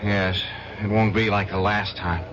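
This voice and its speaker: An actor playing an earnest defense attorney who talks in an urgent, insistent way, in an old radio drama. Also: male voice, fairly low pitch, flat delivery, unhurried, dull audio, crowd noise, natural voice. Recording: noisy old radio broadcast.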